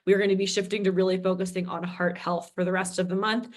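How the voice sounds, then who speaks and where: A woman, a marketing vice president, presenting on a company call with a slight rasp from a head cold, chatty and encouraging. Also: lowish voice, little pitch movement, fast. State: head cold, a little raspy.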